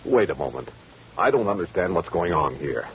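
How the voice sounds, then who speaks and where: A man's voice: low-pitched, big pitch swings, brisk. An actor playing an earnest, businesslike ranch man, easily puzzled, in a vintage radio drama. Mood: baffled, insistent. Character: earnest, baffled male ranch guest or boss. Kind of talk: 1940s-50s radio drama acting. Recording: muffled vintage radio with faint hiss.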